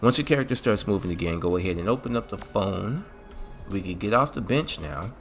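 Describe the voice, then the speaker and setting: A man's voice: lowish voice, expressive, a little fast. A man hosting a casual, slangy video game mod tutorial in an informal, laid-back way. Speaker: gaming channel host. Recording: music behind the voice. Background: music.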